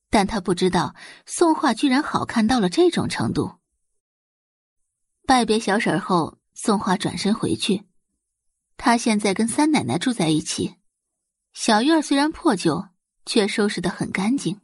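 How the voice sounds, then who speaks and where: Woman reading a dramatic audiobook, very lively pitch, husky edge, close dry sound. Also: dry recording. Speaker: audiobook narrator. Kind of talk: scripted dramatic story narration.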